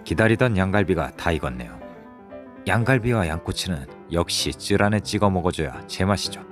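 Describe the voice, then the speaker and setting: A man narrating a restaurant review vlog in a lively, descriptive way, recorded in a busy barbecue restaurant. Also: low-pitched, brisk, loud music behind the voice. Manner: lively, descriptive, chatty with friends. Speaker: food vlogger.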